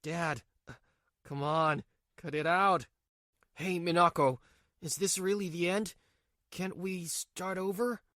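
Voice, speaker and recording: A man's voice: sits high, fairly even intonation, slow, long pauses, pushed and bright, no echo, clean studio sound, full character voice. A man voicing a whiny, cowardly cheating husband in a manga dub, stammering and pleading. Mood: shocked pleading.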